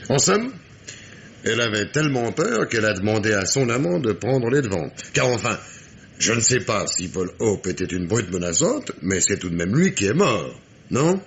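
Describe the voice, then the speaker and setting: An actor playing a sardonic, dogged police inspector in a French radio mystery play, with ironic, emphatic diction. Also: male voice, fairly low pitch, big pitch swings, moderate pace, vocal fry. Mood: ironic, stern.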